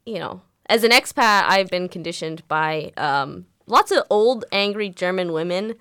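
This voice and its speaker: A young American woman hosting a radio show in casual chat, animated, laughing often and swearing freely. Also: lowish voice, moderate pace, smooth, dry recording, studio quality. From United States.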